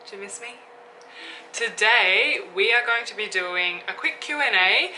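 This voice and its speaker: A woman's voice: mid-range voice, expressive, unhurried, bright, forceful tone, a little hiss or hum, rough recording. A woman, chatting casually through a Q&A vlog and thinking aloud with fillers. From Australia. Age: 20s. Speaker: YouTuber. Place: room with an open window. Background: background music.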